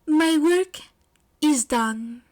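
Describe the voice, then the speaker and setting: Flamboyant teen boy female reader, high, theatrical, grandiose love guru. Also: a little mellow. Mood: grand, confident. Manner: theatrical, grandiose, drawn-out flourishes. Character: flamboyant teenage French boy, self-styled love expert.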